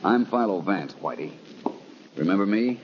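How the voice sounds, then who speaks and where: An actor playing a composed private detective in an old radio drama, probing in a measured, dry way. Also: male voice, low-pitched, expressive. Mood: cool, probing. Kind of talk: 1940s radio crime drama acting. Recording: dull old radio recording, faint noise.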